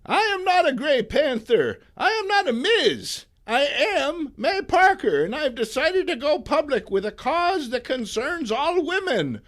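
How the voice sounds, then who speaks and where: A man's voice: very high-pitched, very animated, measured pace, hardly any pauses, a bit bright, pitched above the performer's own voice, exaggerated voice. A man voicing a feisty, indignant old woman crusader in a theatrical, emphatic comic book reading. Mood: crusading. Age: elderly.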